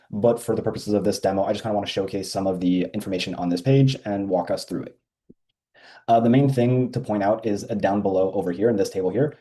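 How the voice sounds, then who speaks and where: A man giving an informal, step-by-step software walkthrough as a demo presenter. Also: on the low side. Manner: explanatory, step by step.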